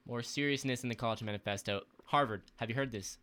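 A man's voice: mid-range voice, quick pace, sharp tone. A young man co-hosting a podcast, chatting casually in an upbeat, joking way. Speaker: podcast co-host. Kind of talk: casual chat.

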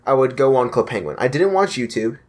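A man's voice: medium pitch, animated, fairly quick. A young man hosting a casual podcast, chatting in a loose, rambling way with plenty of restarts. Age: young adult. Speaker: podcast host.

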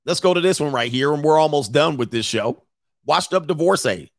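A man in his forties with a gravelly, slightly high voice, roasting clips in brash, slangy commentary. He laughs often and keeps his delivery loose and mocking. The sound is dry and close. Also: expressive, fairly quick, no room sound. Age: 40s. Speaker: commentary host.

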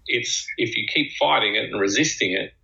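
A man co-hosting a talk show, musing slowly in a reflective, conversational way. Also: lowish voice, creaky, bright, forceful tone. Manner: slow, musing, with drawn-out phrases. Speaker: podcast co-host. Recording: dull lo-fi sound.

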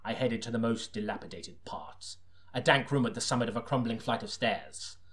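Casting: male audiobook narrator, medium pitch, for a tongue-in-cheek noir detective story told with deadpan seriousness. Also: moderate pace.

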